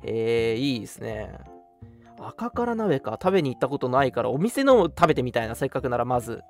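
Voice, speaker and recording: A young male co-host chats in an agreeable, responsive way. His mid-pitched voice rises and falls expressively, with music running underneath the casual radio talk. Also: big pitch swings, a little fast, gravelly. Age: young adult. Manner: agreeable, frequent backchannels and laughter.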